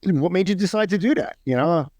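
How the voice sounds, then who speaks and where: A male podcast host talks in a laid-back, casual way, tossing in the odd curse word and plenty of "yeah." His voice sits a little higher than most men's. It is recorded close and dry. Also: fairly quick, no room sound. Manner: relaxed, curses casually, frequent "yeah" backchannel. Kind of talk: casual interview chat.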